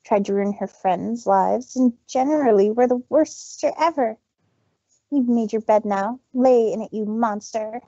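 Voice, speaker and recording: A woman's voice: a little high, measured pace, mellow, no room sound, muffled recording. A woman voicing a proud, dramatic young mare in a story reading, declamatory and emphatic. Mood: dramatic, resolute. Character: proud, dramatic young mare speaking of herself in third person.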